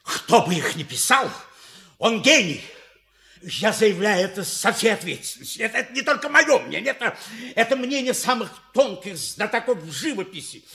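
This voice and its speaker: An actor playing an excitable, pompous, indignant art dealer, blustering and emphatic in a stage comedy. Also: male voice, high voice, moderate pace, vocal fry, heavily acted. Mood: pompous insistence. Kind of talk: stage comedy audio play acting.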